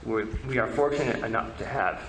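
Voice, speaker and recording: Male presenter, lowish voice, halting informal lecture delivery, recorded in an echoey room with faint noise. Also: a bit bright. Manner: halting, repeats and rephrases words. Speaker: presenter, media researcher.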